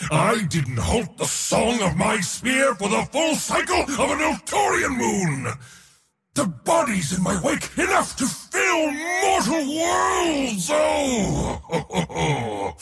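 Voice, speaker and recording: A swaggering god of war from a video game, a man hungry for battle and very pleased with himself. His fairly high male voice has a gravelly edge and swings hugely in pitch. The delivery is grand, boastful and theatrical. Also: fairly bright tone, big-room sound, full character voice. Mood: wistful, grandiose. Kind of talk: video game character voice lines.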